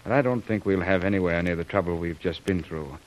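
An actor playing a grave, kindly jungle doctor in an old radio drama, measured and a little hesitant. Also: male voice, deep voice, close to an everyday voice. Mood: concerned. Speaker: doctor character. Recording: noisy old radio recording.

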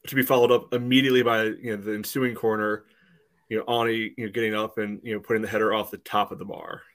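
A man giving casual match analysis as a podcast panelist, in a halting way with frequent filler phrases. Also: fairly low pitch, little pitch movement. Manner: halting, peppered with 'you know'.

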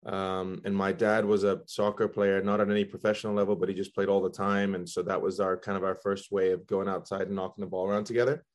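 Middle-aged male soccer executive from Belgium, low voice, measured delivery with ums. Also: level tone. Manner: thoughtful, measured, with frequent ums. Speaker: guest, soccer general manager. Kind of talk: interview answers.

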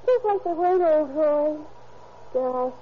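A wistful young sweetheart with a high, girlish voice. She gushes earnestly and tenderly. The sound is thin and tinny, like a phone line. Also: lively intonation, measured pace, a little hiss or hum. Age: young adult. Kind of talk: 1940s radio acting. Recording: tinny lo-fi old radio broadcast.

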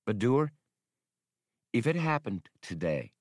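A man voicing a sharp, challenging, distrustful woman in an audiobook, speaking in a pointed, probing way. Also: mid-range voice, big pitch swings, takes it slowly, no echo, studio quality. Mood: quiet, probing. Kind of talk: audiobook character voice.

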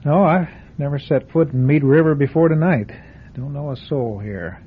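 An actor playing a tired, superstitious traveler who stammers and hesitates, in an old radio drama. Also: male voice, a little high, normal speed, slight background noise, natural voice. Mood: weary. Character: weary, nervous, superstitious traveling man. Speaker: traveling guest. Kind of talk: 1970s radio drama acting. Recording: tinny old radio broadcast.

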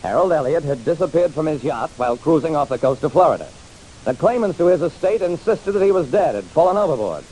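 Animated hard-boiled radio detective played by a man. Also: a little high, fast, close to an everyday voice, poor audio. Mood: reflective. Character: hard-boiled male private detective narrating his casebook. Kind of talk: 1940s-50s radio detective drama narration. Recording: hissy old radio recording.

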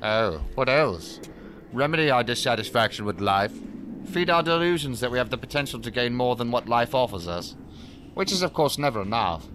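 A man's voice: medium pitch, lively intonation, normal speed, a bit bright, slight background noise, natural voice. An actor playing a jaded, condescending male professor in a science fiction audio drama, wordy and dryly sardonic. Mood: sardonic, lecturing.

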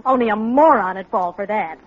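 High-voiced, principled radio housewife. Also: female voice, very animated, a little slow. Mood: indignant. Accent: American. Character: sensible, principled American housewife, gently firm with husband. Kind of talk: 1940s radio comedy acting. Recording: muffled, noisy old radio recording.

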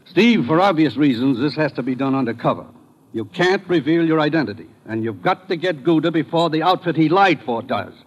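An actor playing a businesslike commissioner, brisk and authoritative, in 1950s radio drama style. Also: male voice, on the high side, expressive, husky. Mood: serious, commanding. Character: brisk, businesslike male commissioner briefing his agent. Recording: dull old radio recording.

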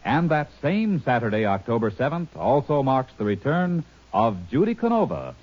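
A man's voice: medium pitch, very animated, normal speed. A man reading a network promotion in 1950s radio announcer style. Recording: muffled old radio recording.

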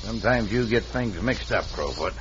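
An impatient outlaw boss in an old Western radio play. His voice is forceful and bright. The recording is dull, with a faint hiss. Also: lowish voice, lively intonation, normal speed. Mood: scheming. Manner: bossy, impatient. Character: gruff male outlaw ambushing a stagecoach. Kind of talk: 1950s radio drama acting.

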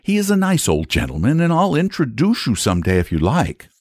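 A man's voice: fairly high pitch, huge pitch swings, fairly quick, a little mellow, no echo, clean studio sound, voice pushed up. A man voicing an earnest, polite and frank young boy in a storybook, speaking emphatically and sensibly. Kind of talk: storybook character voice.

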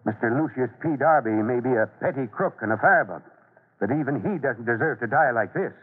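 An actor playing an earnest, authoritative fire chief in an old-time radio serial, speaking deliberately. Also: male voice, mid-range voice, lively intonation, breathy edge. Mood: concerned, urgent. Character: calm, authoritative fire chief investigating, duty-minded man. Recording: tinny, band-limited old radio recording.

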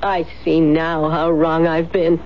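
A woman's voice: sits low, level tone, slow. An actress playing a cold, commanding, scheming woman in a 1970s radio drama, with a clipped, theatrical delivery. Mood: mock remorse. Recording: dull 1970s radio recording, faint noise.

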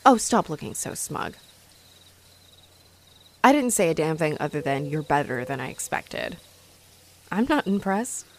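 A woman's voice: sits low, very animated, measured pace, pauses often, exaggerated voice. A woman playing a haughty, sarcastic female vampire in a roleplay audio drama, mocking and theatrical as she taunts her rival. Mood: grudging, irritated.

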